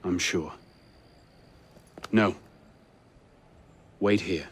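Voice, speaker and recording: A man's voice: deep voice, very slow, husky, full character voice. An actor playing a determined young male assassin in a video game, dry and brooding in his manner. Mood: serious, resolute. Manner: dry, resolute. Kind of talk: video game dramatic acting.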